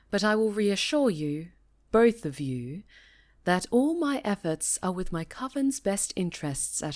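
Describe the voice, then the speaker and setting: A woman playing a proud, guarded high enchantress in an ASMR roleplay, speaking in a measured, courteous, formal way. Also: darkish tone. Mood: stiff, defensive.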